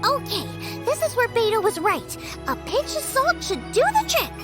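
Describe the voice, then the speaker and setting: Very high, bubbly young girl. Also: very animated, normal speed. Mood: thoughtful. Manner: bubbly, exclamatory, earnest. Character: excitable, cheery, squeaky young girl in a game. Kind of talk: video game voice acting. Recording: music behind.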